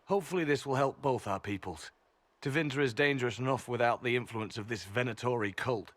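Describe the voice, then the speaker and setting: Man playing composed, measured fantasy agent. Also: mid-range voice, fairly bright tone, no echo, close to an everyday voice. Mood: earnest. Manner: measured, matter-of-fact. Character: composed, earnest elven secret agent, former slave. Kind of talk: fantasy video game acting.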